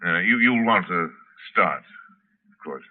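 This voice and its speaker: An actor playing a menacing aristocratic general with urbane, mockingly courteous delivery in 1940s radio drama style. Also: male voice, low-pitched, lively intonation, a little slow. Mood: cool, courteous. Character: suave, menacing aristocratic general who hunts men for sport. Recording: muffled, narrow-band vintage 1940s radio recording.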